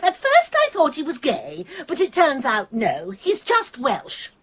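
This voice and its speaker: Gushing, high-pitched village snob. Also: female voice, wildly swooping pitch, a little slow, dull audio, full character voice. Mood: gushing, smug. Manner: affected, gushing, pompous. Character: condescending, snobbish village woman neighbour, theatrical and nosy. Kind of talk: radio comedy drama acting.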